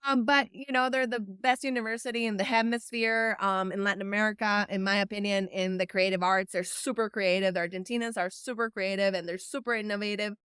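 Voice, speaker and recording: A woman's voice: mid-range voice, steady tone, brisk, hardly any pauses, a bit bright, clear, resonant tone, dry recording, clean studio sound. A woman from El Salvador whose first language is Spanish, an event producer giving an earnest, encouraging talk to camera and stretching words for emphasis. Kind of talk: direct-to-camera motivational talk.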